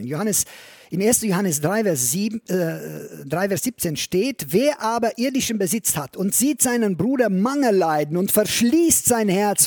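A man preaching a sermon in a church, earnest, rising loud on key phrases and sinking to a near whisper. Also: high-pitched, dry recording, clean studio sound. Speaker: pastor.

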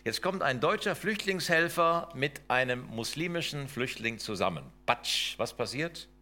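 A man's voice: mid-range voice, animated, a little slow, bright, forceful tone. A middle-aged man giving a lecture, emphatic and full of rhetorical questions and tag questions. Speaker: lecturer on intercultural work. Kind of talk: lecture with slides.

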